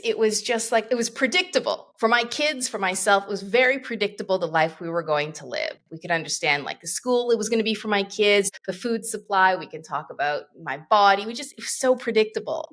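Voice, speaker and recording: A woman's voice: medium pitch, animated, fairly quick, a bit bright. A woman in her fifties from Canada, a guest coach talking in a reflective, rambling way with many asides. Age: 50s. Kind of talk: casual interview conversation.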